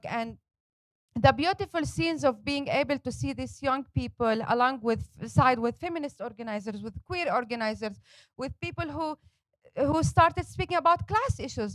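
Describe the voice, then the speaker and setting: High-voiced woman, passionate. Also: dry recording, rough recording. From Palestine. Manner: passionate, emphatic, speaks in rising lists. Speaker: panelist and organizer. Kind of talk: panel talk.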